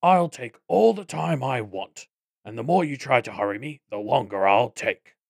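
Male narrator as pompous goblin boss, audiobook character, menacing, deliberate wording, dry recording. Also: mid-range voice, expressive, vocal fry, no room sound. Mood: threatening, smug.

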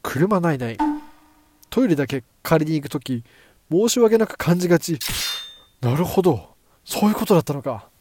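A man voices a young samurai trainee in a comic radio play. He is eager and sincere, with very lively intonation. The audio carries faint noise. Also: a little high, moderate pace, long pauses. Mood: eager, wondering. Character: earnest young male apprentice samurai, eager underdog pupil.

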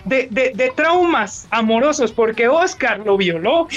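A man's voice: extremely high pitch, animated, a little slow, fairly bright tone, highs cut off. A Mexican man hosting a joking group chat, teasing the others with mock-formal questions.

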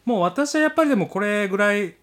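Fast-talking, animated man with teasing retorts. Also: high-pitched. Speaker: podcast co-host. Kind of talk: casual teasing banter.

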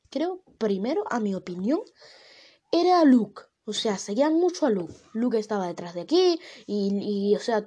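A young woman with a Venezuelan Spanish accent, talking casually straight to her audience. Her voice sits a little high but sounds mellow and warm. She rambles, restarts and fills pauses as she goes. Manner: rambling, many fillers and self-corrections. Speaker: vlogger. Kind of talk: casual solo talk to camera.

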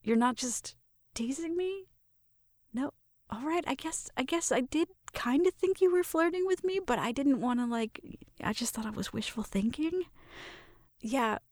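A woman's voice: high voice, lively intonation, normal speed, darkish tone, no echo, clean studio sound. A woman playing a shy, smitten young roommate in an intimate roleplay, nervous and stammering as she talks to the listener. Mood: flustered, hopeful. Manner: nervous, stammering, giggly, self-interrupting.

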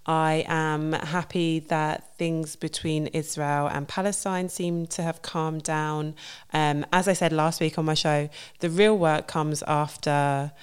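Low-voiced woman explaining history casually. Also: level tone, takes it slowly, clear, resonant tone. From not France. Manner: chatty, with fillers and self-corrections. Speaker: history educator and show host.

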